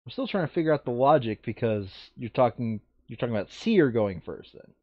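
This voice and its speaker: A man talks casually through a tabletop game, reading out rules and counts with very animated intonation. His voice is recorded dry on a dull, lo-fi mic. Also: mid-range voice, fairly quick, dry recording. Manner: reads out game rules and tallies. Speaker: board game player.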